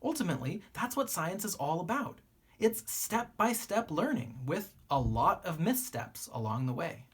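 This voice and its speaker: A woman reading a children's book aloud like a teacher, with deliberate phrasing and expressive pauses. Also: on the low side, breathy edge.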